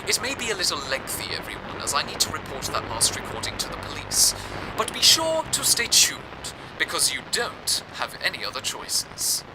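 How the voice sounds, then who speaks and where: A man's voice: fairly high pitch, huge pitch swings, normal speed, heavy background noise. A man playing an earnest, deferential radio presenter in an audio drama, speaking in a formal, theatrical scripted style. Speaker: radio broadcast host.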